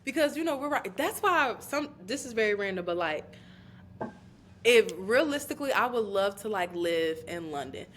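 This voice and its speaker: A woman from Chicago with an American accent hosting a casual podcast interview in animated, slangy, girlfriend-style talk. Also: medium pitch, normal speed. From Chicago, United States. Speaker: podcast host. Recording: clear background noise.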